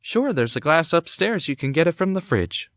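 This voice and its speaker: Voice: medium pitch, moderate pace, no room sound, studio quality. An actor playing a curious amateur sleuth in a hobby audio drama, speaking expressively. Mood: skeptical. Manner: expressive, swinging between musing and exclaiming. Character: curious amateur sleuth exploring an old house. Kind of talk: hobby audio drama acting, thinking aloud.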